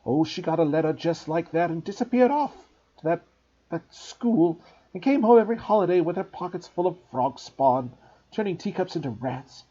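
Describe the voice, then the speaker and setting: A man's voice: on the high side, animated, moderate pace, mellow, breathy edge, pitched above the performer's own voice. A man voicing a bitter, spiteful aunt who shrieks and rattles on. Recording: dull lo-fi recording.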